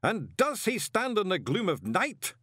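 A man voicing a pompous ceremonial lodge master in an audiobook, intoning solemnly in a booming voice. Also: wildly swooping pitch, measured pace, a bit bright, breathy edge, no room sound, studio quality, exaggerated voice. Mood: commanding, solemn. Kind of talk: audiobook character voice.

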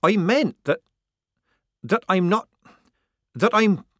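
A man's voice: fairly high pitch, huge pitch swings, a little slow, no room sound, clean studio sound, voice pushed up, exaggerated voice. A man voicing a nervous young woman disguised as a lad in an audiobook, hesitant and easily flustered. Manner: quavering, stammering, embarrassed, gruff when bluffing. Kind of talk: audiobook character voice.

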